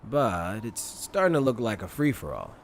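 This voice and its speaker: Male actor as seasoned military captain, fairly deep voice, calm, grave, measured, anime drama, faint background noise. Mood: calm concern. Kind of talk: dramatic fan-dub voice acting.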